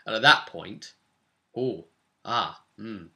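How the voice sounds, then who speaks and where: A man's voice: on the low side, slow, vocal fry, bright, forceful tone. A man informally retelling a tabletop role-playing session as its game master, slipping characters' remarks into the story. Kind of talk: informal storytelling recap to camera.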